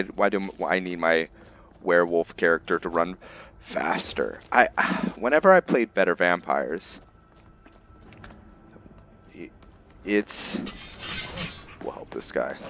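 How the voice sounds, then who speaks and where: A man's voice: sits low, fairly bright tone, dull audio. A man giving casual game commentary to viewers, rambling as he thinks aloud and explains things. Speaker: gameplay video creator, player. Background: music.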